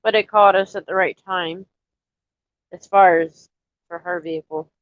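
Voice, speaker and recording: A woman chatting casually to viewers on a live stream, a little nasal and stuffy from allergies, rambling in a relaxed way. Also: on the low side, measured pace, no room sound, phone-quality sound. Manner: rambling, relaxed, talks straight to chat.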